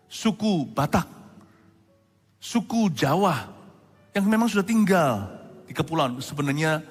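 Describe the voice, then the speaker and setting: A pastor in his forties from Indonesia, preaching a sermon with animated storytelling and conversational asides to the congregation. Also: fairly high pitch. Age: 40s. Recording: long hall reverb.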